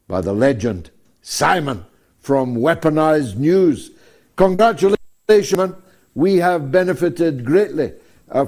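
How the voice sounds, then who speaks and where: A man hosting a talk show, giving wry asides and reading out thanks in a drawn-out way full of fillers. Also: fairly high pitch, warm tone.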